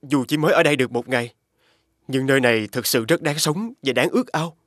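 An actor playing a polite, poetic, homesick young scholar in an animated fairy tale. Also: male voice, medium pitch, brisk, dry recording, clean studio sound, lightly acted. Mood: respectful. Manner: polite, formal.

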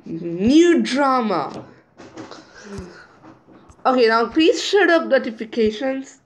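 A woman with a high voice reads chat messages aloud at an unhurried, halting pace. She mutters asides between the lines, recorded on a phone mic with a faint hiss behind her. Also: very animated. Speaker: channel owner. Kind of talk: reading out group chat messages over a screen recording.

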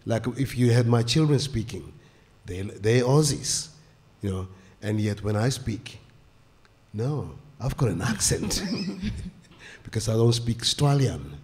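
An older man, a guest author on a stage panel, speaking haltingly with many fillers into a stand mic. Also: fairly low pitch, lively intonation, darkish tone. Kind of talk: panel discussion. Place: stage of a small indoor venue.

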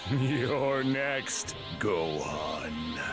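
Smug, very slow-talking monster villain. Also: male voice, lowish voice, expressive. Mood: smug gloating. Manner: gloating, drawn-out, menacing. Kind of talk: English anime game voice acting. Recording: loud music and effects behind.

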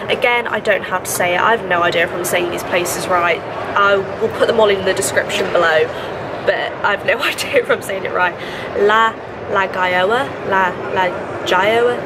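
Brief: woman in her 20s, chatty vlogger, bunged-up nasal voice, phone held at arm's length, loud noisy background. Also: medium pitch. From England. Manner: chatty, rambling, self-correcting. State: congested, nasal from a cold. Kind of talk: casual chat to camera. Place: apartment room by a window.

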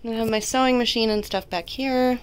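A woman's voice: a little high, moderate pace, clean tone, poor audio. Chatty woman giving a home tour. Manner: chatty, laughs often, self-deprecating asides. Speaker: vlogger and homeschooling mom. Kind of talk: casual house tour narration. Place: small home, indoors.

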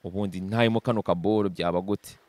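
A man's voice: deep voice, steady tone, a little mellow, no echo. A young man from Uganda, a guest rapper, talking casually into a handheld mic outdoors and mixing in slang and English. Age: young adult. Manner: fast, mixes slang and English, raps. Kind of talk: casual interview with rap verses. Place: outdoor terrace.

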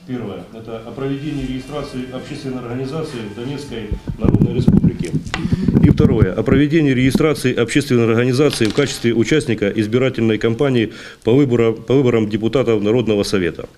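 A man's voice: mid-range voice, fast, heavy background noise. A man in his forties formally reading out procedural items as chairman, with hesitations. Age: 40s. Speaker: meeting chairman. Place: meeting hall, seated at a table.

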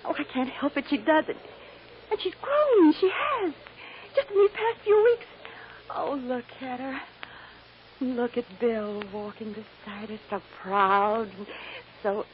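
An actress playing an anxious, doting mother on trial in a radio drama, halting and emotional. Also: high voice, expressive. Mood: tearful, overjoyed. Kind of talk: 1950s radio drama acting. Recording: dull noisy old radio recording.

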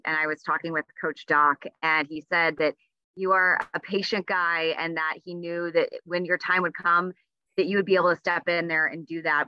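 A woman's voice: lowish voice, pushed and bright, no room sound, muffled recording. A woman sports reporter asking a question over a video call, with dulled audio.

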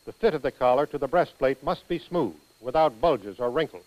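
An American man reading scripted instructional narration for a training film in a precise, measured manner. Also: mid-range voice, expressive, a little mellow, no echo, lo-fi sound.

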